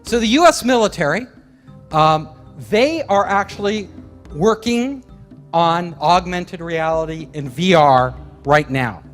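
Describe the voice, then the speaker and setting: A man's voice: high-pitched, expressive, takes it slowly, faint music behind. A man giving a lecture in a chatty way, chuckling between points. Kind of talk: public lecture.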